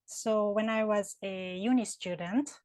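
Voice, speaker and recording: A woman's voice: mid-range voice, measured pace, a little mellow, no echo, studio quality. A woman with a Japanese accent, working in HR consulting, answers interview questions thoughtfully, with many fillers and restarts. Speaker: HR consultant and career counselor.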